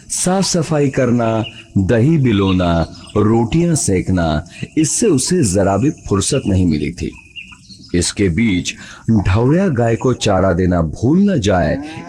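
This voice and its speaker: A man narrating a scripted children's story in an expressive, dramatic way. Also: on the low side, slight background noise. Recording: music behind the voice.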